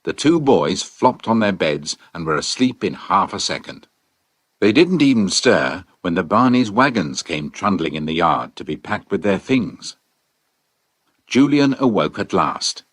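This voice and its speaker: Casting: man for children's adventure narration, low-pitched, dramatic and varied, dry studio sound. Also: measured pace, no echo. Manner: expressive, dramatic storytelling. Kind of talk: scripted storybook narration.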